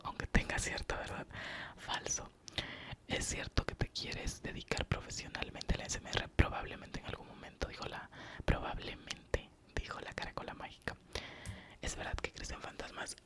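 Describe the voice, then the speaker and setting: A man from Oaxaca with a Mexican accent, whispering an ASMR question-and-answer session with short true-or-false replies. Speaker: ASMR youtuber.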